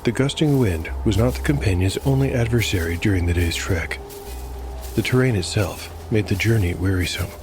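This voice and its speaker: A man reading scripted dark fantasy narration in a measured storytelling manner. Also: on the low side. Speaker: narrator and host. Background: music.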